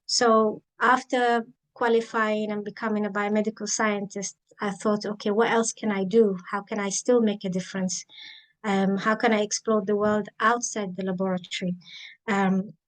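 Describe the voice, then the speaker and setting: A woman in her thirties, raised in the Emirates, telling her career story in an interview, thoughtful and pausing with many ums. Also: medium pitch, level tone, low-quality recording. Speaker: guest, biomedical scientist and author. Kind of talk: interview, reflective personal story.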